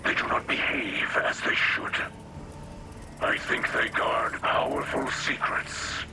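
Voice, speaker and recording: A man voicing a solemn, noble alien warrior captain in a game cutscene, with a breathy, whispery rasp and formal, deliberate phrasing. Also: mid-range voice, natural voice. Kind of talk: dramatic game cutscene acting. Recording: loud noise behind the voice.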